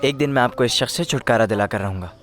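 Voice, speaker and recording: A man's voice: mid-range voice, fast, fairly bright tone, soft background music, lightly acted. A man playing a wronged, determined young son in a dubbed drama, speaking earnestly. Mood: solemn. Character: resolute, wronged young son protective of his mother.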